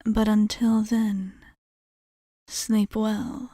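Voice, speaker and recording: A woman playing a courteous, fond, centuries-old vampire bartender in an ASMR roleplay, speaking formally and softly, often in a breathy whisper, with lingering pauses. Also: medium pitch, level tone, no echo, studio quality. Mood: tender, soothing.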